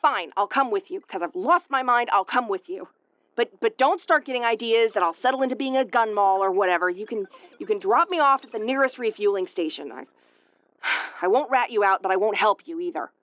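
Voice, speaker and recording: Female performer for tsundere space commander, audio roleplay: gruff, prickly, secretly caring, medium pitch, very dry recording. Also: animated, brisk, dry recording, dull audio, lightly acted. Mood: grudging, resigned. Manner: brusque, stammering when flustered. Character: tough tomboyish tsundere woman starship commander, prickly but caring. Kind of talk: intimate audio roleplay acting.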